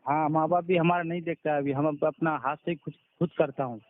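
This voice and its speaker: A man's voice: fairly high pitch, fairly even intonation, brisk. A man calling by phone, speaking informally and very deferentially as a patient, often repeating himself. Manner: deferential, constantly says sir, repeats himself. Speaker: caller, patient giving a testimonial. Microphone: telephone. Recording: narrowband phone call recording.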